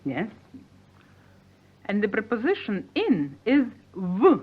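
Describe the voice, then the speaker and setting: A woman's voice: medium pitch, huge pitch swings, takes it slowly, slightly rough. A woman giving a scripted 1950s radio language lesson in a precise, carefully enunciated teaching manner. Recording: tinny, phone-like 1950s radio recording.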